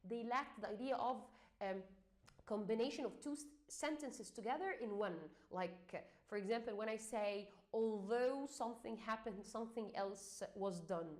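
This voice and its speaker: Egyptian-accented woman teacher, slightly high voice, halting explanatory delivery. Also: darkish tone. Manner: hesitant, many fillers, repeats and restarts. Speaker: English exam teacher.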